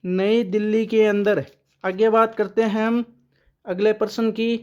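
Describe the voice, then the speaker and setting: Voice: mid-range voice, flat delivery, a little slow, muffled recording. A current affairs teacher giving an exam-prep lesson with memory tricks, who asks questions aloud, answers them and repeats the key names.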